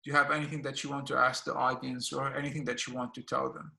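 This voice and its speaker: Gravelly, bright-voiced male host. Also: mid-range voice, level tone, quick pace, roomy sound. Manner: hesitant, lots of fillers, encouraging. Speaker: radio and blog host. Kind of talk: casual interview chat.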